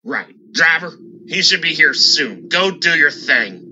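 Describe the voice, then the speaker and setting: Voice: medium pitch, expressive, measured pace, pushed and bright. An actor voicing a bitter, paranoid steam engine plotting revenge in a cartoon, aggrieved and scheming. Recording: dull lo-fi sound, faint noise.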